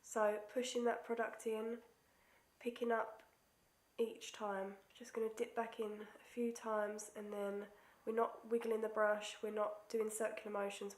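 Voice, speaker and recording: A young woman with a stuffy nose from a cold, chatting casually through a makeup tutorial and sometimes dropping to near-whispers as she concentrates. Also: on the high side. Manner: chatty, self-deprecating, drops to near-whispers while concentrating. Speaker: beauty vlogger. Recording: echoey room.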